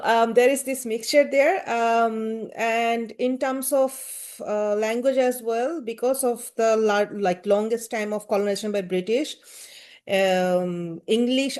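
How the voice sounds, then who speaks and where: A woman's voice: mid-range voice, darkish tone. A woman with a Bangladeshi accent answering interview questions thoughtfully, with frequent fillers and hedges. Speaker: guest, gender-rights activist.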